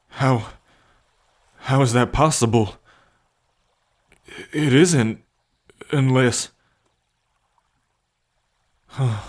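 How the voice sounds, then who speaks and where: Slow, earnest bull man played by an actor. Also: mid-range voice, lightly acted. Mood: stunned, halting. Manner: earnest, tender, halting delivery with pauses. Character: gentle, devoted bull man with a violent past. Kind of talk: ASMR roleplay addressed to the listener.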